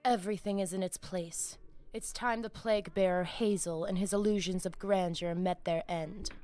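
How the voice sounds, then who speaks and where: An actress voicing a cunning, imperious vampire plotter in a video game, solemn and grandiose. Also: female voice, lowish voice, fairly even intonation, normal speed, a little mellow, close to an everyday voice. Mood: ominous. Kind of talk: dramatic video game voice acting.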